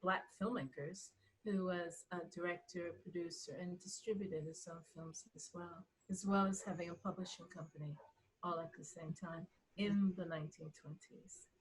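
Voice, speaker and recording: A woman guest in a casual interview, talking enthusiastically in a halting way and repeating words for emphasis. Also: lowish voice, steady tone, husky, poor audio. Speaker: interview guest, writer and director.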